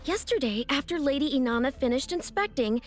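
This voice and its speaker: A woman's voice: sits high, expressive, fairly quick, soft background music. A woman playing a young teammate in a video game, delivering scripted dialogue. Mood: informative.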